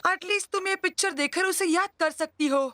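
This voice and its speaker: Bright, high-voiced sarcastic young boy. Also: moderate pace, soft background music. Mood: reluctant, sarcastic. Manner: sarcastic, grumbling. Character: sarcastic, cocky young boy, grumbling older brother. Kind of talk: Hindi cartoon dub acting.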